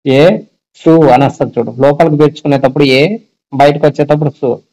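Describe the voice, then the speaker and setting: A man's voice: fairly high pitch, fairly even intonation, brisk, dark tone. A man talking casually on a phone call about faith, explaining and often asking check-back questions. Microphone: telephone.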